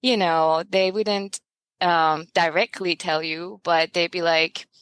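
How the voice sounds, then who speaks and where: A young adult woman from Iran, a podcast guest talking in casual interview chat, animated and emphatic, laughing often and asking pointed rhetorical questions. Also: lowish voice, takes it slowly, smooth, dry recording.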